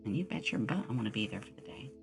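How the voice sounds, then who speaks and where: A woman's voice: very deep voice, big pitch swings, racing, creaky, music in the background. A woman chatting casually on an ASMR livestream, rambling with playful asides, close on a binaural microphone. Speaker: streamer and game master.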